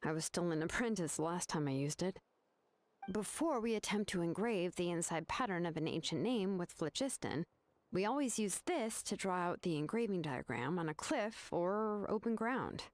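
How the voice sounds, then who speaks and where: An actress voicing a laid-back young craftswoman who explains things in a relaxed, matter-of-fact way. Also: lowish voice, normal speed, lightly acted. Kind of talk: video game voice acting.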